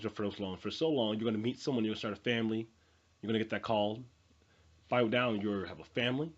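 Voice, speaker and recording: An earnest young man in his twenties gives a rambling motivational talk, full of fillers and repeated phrases. His voice sits fairly low and sounds husky. The recording is dull and muffled. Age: 20s. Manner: earnest, repetitive, with many fillers and restarts. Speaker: motivational vlogger. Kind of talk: unscripted motivational monologue.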